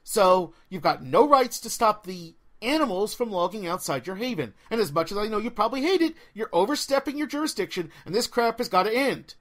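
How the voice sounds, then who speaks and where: A man's voice: sits high, expressive, fairly quick, hardly any pauses, fairly bright tone, pitched above the performer's own voice. A man voicing an exasperated, bossy young woman witch in an audiobook, emphatic and irritated. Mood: irritated, insistent. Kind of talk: audiobook character voice.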